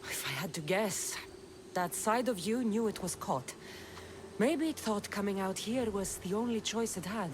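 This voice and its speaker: A woman's voice: medium pitch, lively intonation, moderate pace, noisy background, close to an everyday voice. A woman voicing a tough, level-headed warrior woman in a video game, frank and firm in manner. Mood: grave, explaining. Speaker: investigator and fighter. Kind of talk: video game cutscene acting.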